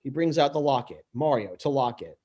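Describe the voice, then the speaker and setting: A man reading stage directions aloud in an amateur script read-through, halting and tripping over words. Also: medium pitch, expressive, darkish tone, highs cut off.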